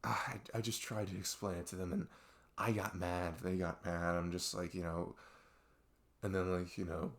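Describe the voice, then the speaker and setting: A man playing a young best friend who confides in a halting, rambling, exasperated way in an ASMR audio roleplay. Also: low-pitched, flat delivery, creaky, a bit bright. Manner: rambling, halting, exasperated sighs and nervous laughs. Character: young male best friend venting about arguing with parents.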